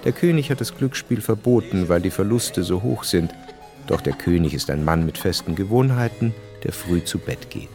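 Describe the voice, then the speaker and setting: A man's voice: low-pitched, expressive, moderate pace, dark tone. A man narrating a radio drama in a scripted, literary style. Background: music.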